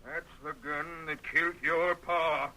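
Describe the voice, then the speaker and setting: An actor playing a stubborn, gruff old American ranch patriarch with a curt, folksy frontier way of talking in old-time radio Western style. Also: male voice, on the high side, flat delivery, unhurried, bright, forceful tone, poor audio. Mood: gruff, insistent. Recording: vintage radio drama recording.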